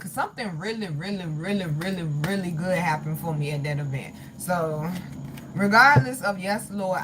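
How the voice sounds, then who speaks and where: A woman's voice: medium pitch, heavy background noise. A woman hosting a live tarot reading, talking bluntly and profanely in a sassy way, calling viewers honey and baby as she reads their comments. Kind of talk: casual livestream chat reading comments.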